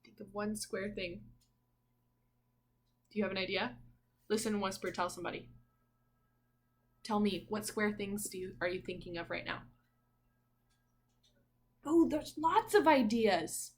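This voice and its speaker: A woman's voice: mid-range voice, measured pace, pauses often. A woman teacher reading a picture book aloud to young children in a sing-song way, prompting listeners with questions.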